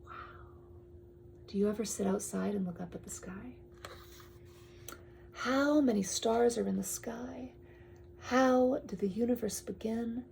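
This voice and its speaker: A woman reads a picture book aloud to a young child in drawn-out phrases, often dropping to a hushed tone and adding chatty asides. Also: fairly high pitch, gravelly, slight background noise. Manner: addresses the child with questions and pointers. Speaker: storyteller reading to a young child.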